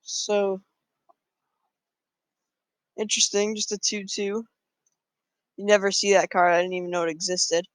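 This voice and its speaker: A man's voice: sits high, steady tone, unhurried, pauses often, smooth, no room sound. A man casually talking through his moves in a card game, thinking aloud with frequent fillers. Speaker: gameplay commentator.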